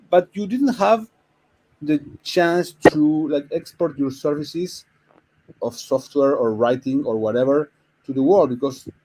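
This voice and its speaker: A man from Argentina with an Argentine Spanish accent, speaking as a panelist in an informal discussion and explaining things haltingly. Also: fairly high pitch, a little mellow, a little hiss or hum, rough recording.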